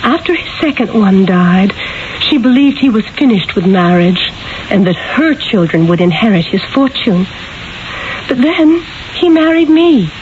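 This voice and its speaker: A devoted, anguished young wife of old Florence for 1970s radio. Her voice is high and very animated, with an earnest, pleading delivery. The broadcast sounds dull, with faint noise. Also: a little slow. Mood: troubled, earnest. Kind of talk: 1970s radio drama acting.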